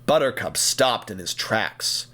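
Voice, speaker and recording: A man giving scripted, expressive narration for an audio drama in a storybook style, using dramatic pauses, with music underneath. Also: on the low side.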